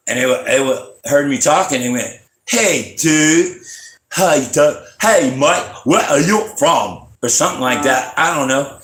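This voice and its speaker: Older man, bright voice in a roomy space. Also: mid-range voice. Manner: rambling, dry humor. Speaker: musician hosting a live music stream. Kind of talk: casual chat between songs.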